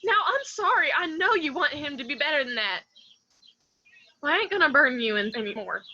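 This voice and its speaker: A woman's voice: high voice, lively intonation, a little fast, bright, forceful tone, highs cut off, a little hiss or hum, exaggerated voice. A woman playing a proud, heartsick young woman in a radio drama. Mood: hurt, defiant.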